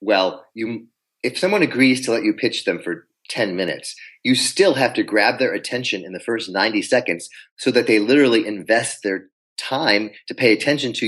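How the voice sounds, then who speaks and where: Low-voiced man coaching on pitches. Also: a bit bright. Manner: storytelling, acts out quoted dialogue. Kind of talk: interview, advice with anecdotes.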